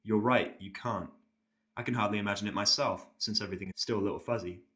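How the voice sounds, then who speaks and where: A man's voice: on the low side, steady tone, fast talker, a bit bright, natural voice. A man playing an irritable, sarcastic injured patient, blunt and cynical, in a first-person audio drama. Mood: sceptical.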